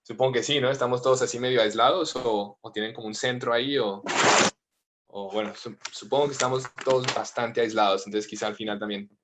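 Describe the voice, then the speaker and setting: A priest in his thirties with a mixed Latin American and Spanish accent, praying and then talking gently and informally over a video call. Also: medium pitch, fairly even intonation, brisk, heavy background noise. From United States, family from Colombia. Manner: gentle, informal, with frequent fillers.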